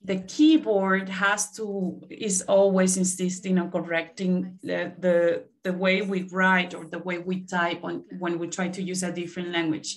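A woman's voice: fairly low pitch, little pitch movement. A young woman moderating a panel on a video call, keeping time and asking questions. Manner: unhurried, timekeeping and posing questions. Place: indoor room.

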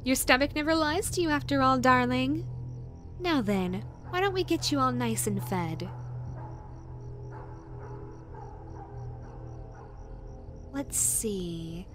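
A woman's voice: high-pitched, animated, measured pace, fairly bright tone, faint music behind. A woman voicing a possessive, sweetly menacing yandere rabbit woman in a roleplay audio drama, coaxing and condescending in a saccharine way. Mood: sweet, doting.

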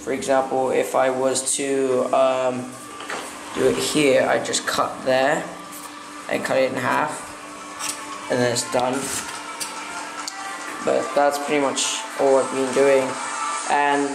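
A man with a slightly croaky voice, rambling hesitantly with many fillers as he narrates a casual craft vlog at his work table. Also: mid-range voice, monotone, slow pace, long pauses, noisy background. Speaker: stop-motion hobbyist vlogger. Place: indoor room at a work table. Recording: echoey room with music playing behind.

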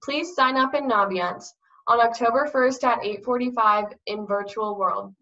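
Student announcer, woman, higher voice, lo-fi sound. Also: moderate pace, sharp tone. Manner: reading a script.

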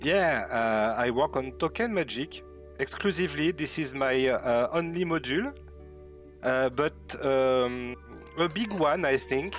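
A man's voice: medium pitch, animated, a little slow, faint music behind. A native French-speaking man with a French accent, chatting casually and hesitantly as he searches for words. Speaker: guest developer, new team member. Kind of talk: casual chat.

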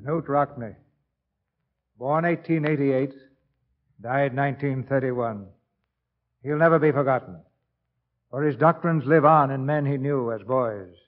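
An actor playing a proud memorial narrator, formal and declamatory, in 1940s radio style. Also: male voice, medium pitch, measured pace, long pauses, natural voice. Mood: proud, solemn. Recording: dull old radio recording, faint music.

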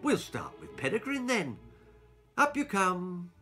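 A sinister storybook barber , acted out by a male reader, speaks slowly and deliberately with quiet menace. His delivery swings from low murmurs to bright, pointed coaxing, as if toying with naughty children. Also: wildly swooping pitch, faint music behind, full character voice.

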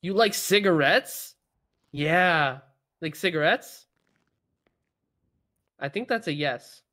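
Higher-pitched man, hesitant and bemused. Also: lively intonation, takes it slowly, pushed and bright. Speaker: role-player playing a police officer. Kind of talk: casual improvised in-game role-play chat.

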